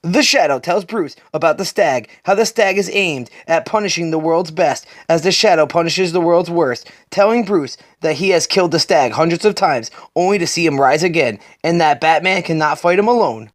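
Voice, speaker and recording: A man narrates a comic book story as host of a reading series. His voice is pitched high for a male, and the delivery is scripted storytelling. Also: moderate pace, hardly any pauses.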